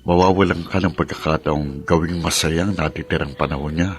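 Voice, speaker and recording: A man playing a caring, steady big-brother figure, earnest and consoling, in a Tagalog radio drama. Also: extremely low pitch, a little fast.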